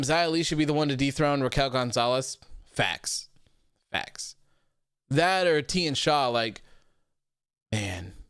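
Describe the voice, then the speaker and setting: A man's voice: mid-range voice, a bit bright, clean tone, no echo, clean studio sound. A man in his early thirties, hosting a livestream and chatting loosely, reading viewer comments aloud with lots of likes and ums. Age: 30s. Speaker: podcast host reading live chat. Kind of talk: casual livestream chat.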